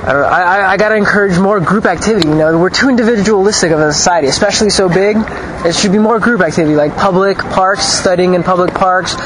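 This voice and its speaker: A man's voice: high-pitched, fast talker. A young man, a student born abroad and raised in Los Angeles, answering interview questions outdoors in a loose, rambling way with many fillers and restarts. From Ukraine, raised in Los Angeles. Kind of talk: street interview, casual opinions. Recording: noisy outdoor street recording.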